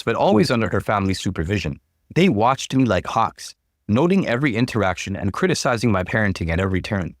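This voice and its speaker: A man reading a scripted relationship story aloud in an expressive, dramatic narrating style. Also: on the low side, dry recording, studio quality. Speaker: narrator reading online stories.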